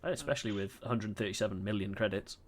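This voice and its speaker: A man's voice: fairly low pitch, quick pace, a little hiss or hum. A man streaming a space game, chatting casually, reading chat aloud and laughing often.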